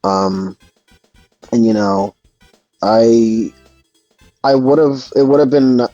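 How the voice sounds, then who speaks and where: A man's voice: medium pitch, extremely slow, warm tone, no room sound. Hesitant man on a dull, dry mic. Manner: hesitant, reflective, searching for words. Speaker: host of a gaming discussion. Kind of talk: casual discussion. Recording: dull lo-fi mic, music underneath. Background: background music.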